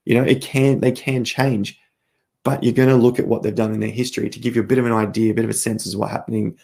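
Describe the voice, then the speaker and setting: A man hosting a casual crypto live stream, walking through charts while hoarse from losing his voice, clearing his throat often. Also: medium pitch, darkish tone. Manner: throat clearing, rambling explanations.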